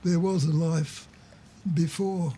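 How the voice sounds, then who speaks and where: An older Australian man reminisces casually. His voice is soft-edged and very mellow, pitched a bit high. He speaks hesitantly and without emphasis, with faint noise around him. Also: steady tone, slow.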